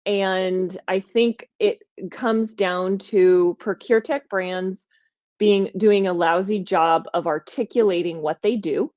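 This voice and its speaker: A woman hosts a podcast in an energetic, emphatic way. She talks at a middle pitch and thinks aloud with small restarts. Her voice is dry and a little dull, with no room sound. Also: slow, no echo. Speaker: podcast host. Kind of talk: conversational podcast talk.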